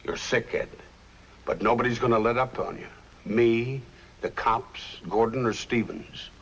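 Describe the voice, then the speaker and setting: An actor playing a shrewd, calm private detective in a 1950s film noir, speaking in a dry, measured way. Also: male voice, medium pitch, breathy edge. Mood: grave, confronting. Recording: noisy old film soundtrack.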